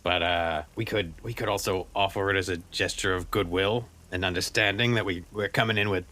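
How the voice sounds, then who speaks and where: Deep, bright-voiced man, halting gamer. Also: steady tone. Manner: halting, hesitant delivery with repeated words. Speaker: player in a tabletop game. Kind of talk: in-character game chat.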